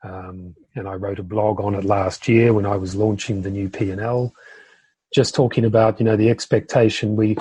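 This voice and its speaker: A man in his fifties from the United Kingdom, a podcast guest and entrepreneur, speaking thoughtfully with pauses and fillers. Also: fairly low pitch, level tone, dark tone, slightly rough, low-quality recording. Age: 50s. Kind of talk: conversational interview.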